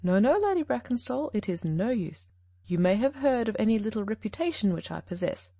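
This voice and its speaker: A shrewd detective speaks calmly and firmly, his voice gravelly and mellow, voiced by a woman reading the story. The sound is dry and close. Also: mid-range voice, no room sound, highs cut off. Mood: measured. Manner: measured, gently firm. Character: calm, shrewd male detective, gentle but firm.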